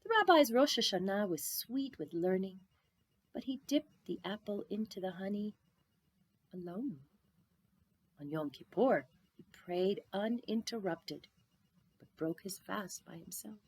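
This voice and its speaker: A woman reading a children's picture book aloud expressively, drawing out words and dropping into hushed phrases for drama. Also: mid-range voice, lively intonation, unhurried, long pauses, husky. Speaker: storybook reader.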